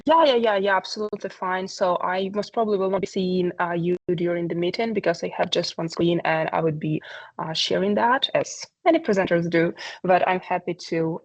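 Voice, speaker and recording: A woman's voice: on the low side, creaky, dry recording, muffled recording. A woman, giving a talk on a video call, earnest and hesitant with many fillers. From Ukraine. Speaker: presenter. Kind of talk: online meeting presentation.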